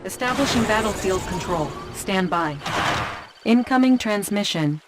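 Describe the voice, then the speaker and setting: A woman's voice: medium pitch, lively intonation, unhurried, close to an everyday voice. Woman as composed military officer, noisy background. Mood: composed, businesslike. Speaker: mission briefer. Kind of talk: scripted game briefing. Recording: clear battle and vehicle noise behind.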